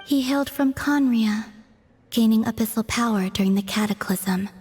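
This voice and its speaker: A woman voicing a calm, detached and mysterious young woman who speaks softly and formally. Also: on the high side, moderate pace, husky, faint music behind. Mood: serene. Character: serene, mysterious young woman, a former Fatui agent. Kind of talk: game cutscene voice acting.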